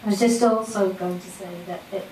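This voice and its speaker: A woman speaks plainly from a panel, pausing now and then. She sounds distant and roomy. Background noise runs beneath her. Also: medium pitch, fairly even intonation, darkish tone. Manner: plain-spoken, a little hesitant. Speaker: panel member. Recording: echoey room, distant mic, background noise.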